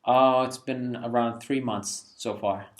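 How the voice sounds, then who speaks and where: A man in his twenties from Iraq, a junior doctor speaking in an easy, candid way. His voice sits at an ordinary middle pitch. He answers at length and laughs lightly at himself. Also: steady tone, takes it slowly. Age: 20s. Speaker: junior doctor, interview guest. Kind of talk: relaxed conversational answers.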